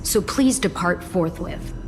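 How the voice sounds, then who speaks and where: Actress as polite female messenger for fantasy game: slightly low, composed, formal, subtly sly, echoing space. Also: measured pace, close to an everyday voice. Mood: courteous, formal. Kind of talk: scripted game voice acting. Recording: long echoing reverb, music underneath.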